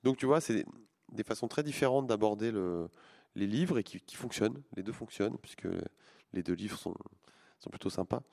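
A man in his forties, a comic book artist, talks through an interview with a fairly low voice. He hesitates often, repeating words and restarting sentences as he thinks aloud. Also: animated, moderate pace, gravelly, a little mellow. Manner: hesitant, many restarts and fillers. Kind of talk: interview answers.

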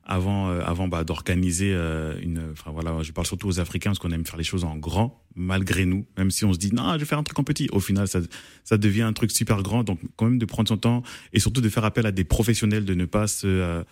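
Deep-voiced man, hesitant radio guest. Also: fast, a little mellow, no echo. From France. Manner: hesitant, many fillers and restarts. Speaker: event organizer, guest. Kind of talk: radio interview. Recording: dry radio studio.

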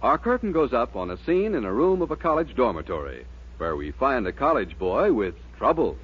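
Husky, very animated American radio announcer. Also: male voice, medium pitch, fairly quick. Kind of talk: scripted 1940s radio advert announcing. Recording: dull 1940s radio recording.

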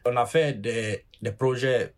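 A young man in his twenties from Guinea, a student and youth climate ambassador, speaking earnestly and a little haltingly. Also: mid-range voice. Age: 20s. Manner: earnest, halting, with fillers and repeated words. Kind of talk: interview testimony.

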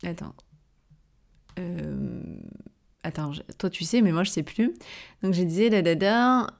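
A woman's voice: on the low side, expressive, measured pace, vocal fry. Chatty rambling woman, southern French accent. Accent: southern French, Avignon. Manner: chatty and rambling, full of hesitations, self-corrections and brief laughs; addresses the listener familiarly. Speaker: podcast host, entrepreneur. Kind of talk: casual personal storytelling monologue.

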